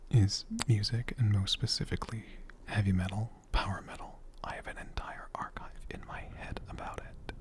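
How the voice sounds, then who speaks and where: A man speaking softly and gently in an ASMR style, breathy and often whispering, rambling unhurriedly as he answers questions off the cuff. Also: fairly low pitch. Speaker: ASMR creator and channel host. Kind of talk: soft-spoken ASMR, unscripted question-and-answer.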